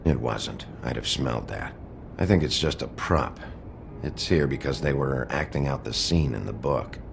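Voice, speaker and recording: Gruff male detective, rock-bottom pitch, terse, authoritative, noir video game, noticeable background noise. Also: moderate pace. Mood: pensive. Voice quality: gravelly. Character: gruff, brooding wolf detective in human form.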